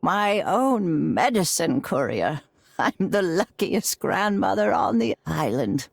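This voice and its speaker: A woman's voice: fairly low pitch, big pitch swings, slow pace, creaky, no room sound, clean studio sound, full character voice. A woman playing an affectionate elderly grandmother from an island in a video game. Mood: fondly grateful. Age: older.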